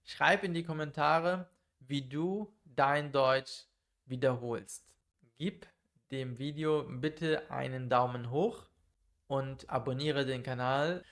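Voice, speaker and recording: A man teaching German in a calm, methodical monologue, speaking slowly and clearly for learners. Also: mid-range voice. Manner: calm, methodical, lists numbered tips.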